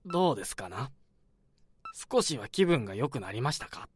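A man playing a polite hospital doctor who speaks in a measured, philosophical way. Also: medium pitch, sing-song, a little hiss or hum, natural voice. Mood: calm. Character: calm philosophical male hospital doctor, middle-aged.

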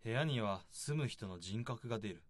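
An actor playing a composed listener who muses philosophically and politely draws out a confession in a radio drama. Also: male voice, fairly low pitch, animated, normal speed, no echo. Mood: musing, composed. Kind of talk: scripted radio drama dialogue.

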